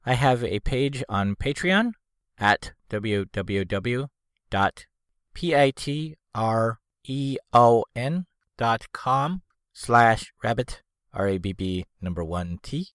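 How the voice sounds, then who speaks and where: A man reading a scripted show outro in a slow, deliberate way, carefully spelling things out. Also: mid-range voice, expressive, a bit bright, smooth, no echo, clean studio sound. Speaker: show announcer.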